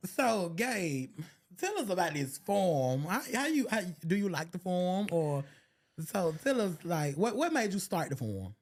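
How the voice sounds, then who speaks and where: A man's voice: high voice, sing-song, slight background noise. Playful young man hosting a chat. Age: 20s. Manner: playful, teasing, quick to laugh. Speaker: podcast host asking questions. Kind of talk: casual interview chat.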